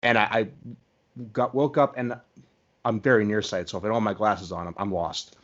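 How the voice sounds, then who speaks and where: A man's voice: fairly low pitch, normal speed, muffled recording. A man chatting casually as a podcast host, in a conversational style.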